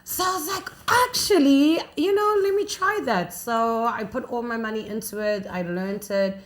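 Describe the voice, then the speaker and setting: Animated young South African woman DJ. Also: a little high, moderate pace. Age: young adult. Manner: animated, laughing, storytelling. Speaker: radio DJ and podcast guest. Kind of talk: casual interview chat.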